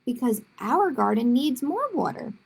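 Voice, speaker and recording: A woman voicing a patient, calmly explaining mother in a children's storybook. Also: sits high, moderate pace, creaky, slightly rough, full character voice. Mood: patient, assured. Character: patient, assured mother answering her small daughter.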